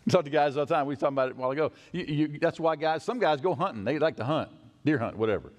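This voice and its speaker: Middle-aged male pastor, sermon, very lively swooping pitch, long church hall reverb. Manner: animated, acts out quick hurried voices and drops to a hush. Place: large church sanctuary with congregation.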